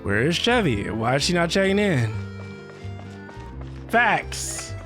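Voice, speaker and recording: A man's voice: fairly high pitch, sing-song, slow. A man giving casual live commentary as he plays a game, muttering playful reactions. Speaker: game streamer. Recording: game music clearly behind the voice.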